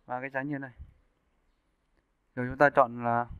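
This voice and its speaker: A man giving a casual, step-by-step software tutorial as an animation instructor. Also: medium pitch, level tone, normal speed, smooth, no echo. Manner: informal step-by-step explaining. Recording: muffled narrowband phone-like audio.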